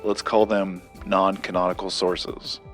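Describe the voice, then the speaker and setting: An actor playing an earnest, grumbling, bookish collector with a conspiracy obsession, in naturalistic audio drama dialogue, dry and precise. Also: male voice, deep voice, little pitch movement, a little slow, fairly bright tone, faint music behind. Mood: earnest, measured. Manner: dry, skeptical, precise wording.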